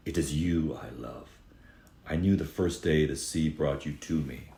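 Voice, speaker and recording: A man voicing a tender young storybook prince, soft-spoken and earnest. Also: very deep voice, unhurried, creaky, reverberant, full character voice. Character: gentle, handsome young prince, tender and apologetic.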